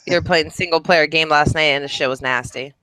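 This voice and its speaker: A man's voice: fairly high pitch, fairly even intonation, a little fast, pushed and bright, a little hiss or hum, rough recording. A man hosting a casual podcast chat and steering the discussion.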